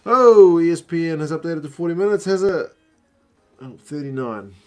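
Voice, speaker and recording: A man's voice: a little high, normal speed. A young man with a New Zealand accent, muddled by years abroad, chatting casually on a rugby watch-along stream and reading out viewer messages. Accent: New Zealand, mixed from years abroad. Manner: relaxed, chatty, slangy.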